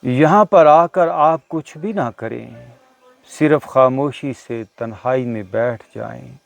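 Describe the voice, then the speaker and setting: A man leading a guided meditation as a teacher, speaking deliberately with short pauses between phrases. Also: mid-range voice, vocal fry, no room sound. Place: outdoors in a natural setting. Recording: outdoor recording with birdsong behind. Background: birdsong.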